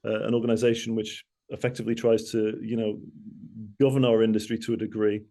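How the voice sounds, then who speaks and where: A man's voice: fairly low pitch, flat delivery, quick pace, warm tone. A man from Manchester with a Mancunian accent, giving a rambling, conversational talk full of asides and self-corrections. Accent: Mancunian English. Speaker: guest speaker. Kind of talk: conversational presentation over video call.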